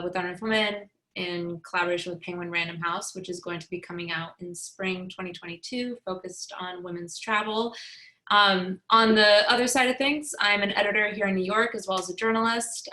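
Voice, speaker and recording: A woman with a bright, forceful voice introducing herself on a video call panel. She talks upbeat and quick, tossing in light asides about herself. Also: lowish voice, rough recording. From Midwest US. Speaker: editor and journalist, panelist.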